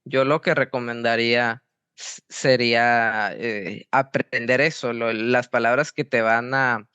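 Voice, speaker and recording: A young man from Mexico, a football coach answering interview questions in a halting way with many restarts. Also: medium pitch, fairly even intonation, pushed and bright, clear, resonant tone, no echo. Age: young adult. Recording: dry, slightly dull sound.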